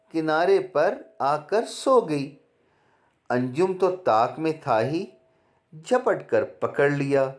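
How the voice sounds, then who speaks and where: A man narrating a children's fairy tale in a measured, deliberate storytelling manner. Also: a little high.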